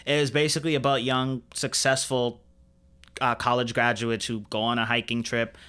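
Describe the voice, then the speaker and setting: A man talks as a podcast co-host in a casual, chatty way. His voice is crisp and bright, with a clean, resonant tone. He speaks informally and uses plenty of slang. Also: mid-range voice, level tone, normal speed. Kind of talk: casual chat.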